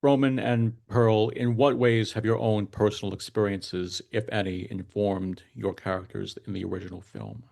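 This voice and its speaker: Creaky-voiced male podcast host. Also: lowish voice, flat delivery, a little mellow. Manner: formal, measured wording. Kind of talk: scripted interview questions.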